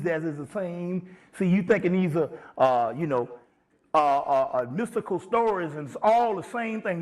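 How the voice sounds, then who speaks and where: A man giving an informal spiritual lecture in an emphatic, conversational way, often checking that his listeners follow. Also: sits high.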